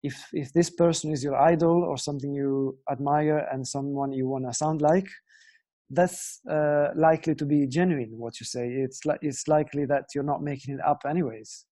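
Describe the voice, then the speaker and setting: A man's voice: fairly high pitch, fairly even intonation, moderate pace, dark tone. A young man from Italy with an Italian accent, teaching guitar in an earnest, explanatory way and often asking viewers questions. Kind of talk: online guitar lesson talk.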